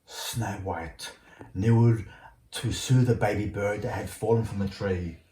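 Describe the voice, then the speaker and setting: A man's voice: on the low side, fairly even intonation, a little slow, mellow. A man reading a storybook aloud like a father at bedtime, occasionally stumbling over the words. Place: indoor room at home. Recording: roomy home recording, faint noise.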